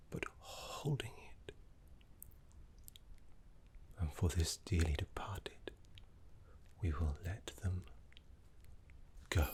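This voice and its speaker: A man voicing a gentle, scholarly necromancy teacher in an ASMR roleplay, soft, breathy and half-whispered, with soothing, genteel phrasing. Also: deep voice. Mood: reverent, hushed, then kindly, encouraging. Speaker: teacher guiding a student.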